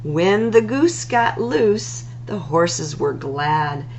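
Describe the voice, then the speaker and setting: A woman reading rhyming picture books aloud as a storytime host, in an expressive, singsong delivery with drawn-out words. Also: fairly low pitch, slight background noise.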